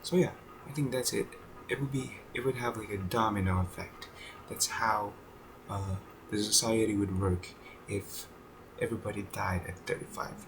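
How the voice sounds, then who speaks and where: A man in his twenties thinking aloud in a casual video journal, halting with many fillers, restarts and casual swearing. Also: fairly low pitch, fairly even intonation, noisy background. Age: 20s. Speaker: vlogger.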